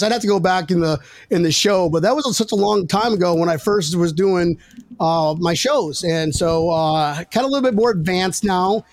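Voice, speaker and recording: A man's voice: high voice, no echo. A man hosting a casual sports podcast with jokey, self-deprecating banter and salty language. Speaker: podcast host.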